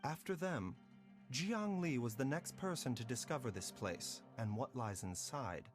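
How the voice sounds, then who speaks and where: Game role: male actor as calm scholarly physician, articulate and reasoning, mid-pitched with lively intonation, music behind. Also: unhurried, natural voice. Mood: explanatory. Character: calm, learned, gentle male doctor, adult. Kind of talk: video game voice acting.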